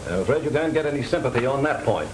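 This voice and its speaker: A man in his sixties playing a formal, skeptical prison warden with measured delivery in 1930s film style. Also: mid-range voice, expressive, close to an everyday voice. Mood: skeptical, fatherly. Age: 60s. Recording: noisy 1930s film soundtrack.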